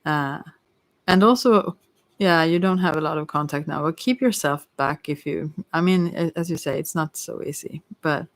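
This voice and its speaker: A tarot reader giving an informal ASMR reading in a hesitant, rambling way with many pauses. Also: mid-range voice, no room sound. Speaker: Ayurveda therapist.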